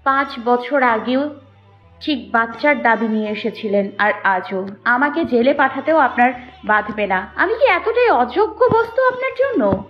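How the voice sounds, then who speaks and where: A woman's voice: fairly high pitch, lively intonation, unhurried. A woman playing a wronged, anguished and defiant young mother in an emotional romantic audio drama. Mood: hurt, reproachful. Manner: emotional, pleading and accusing delivery. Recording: muffled audio with music behind. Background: background music.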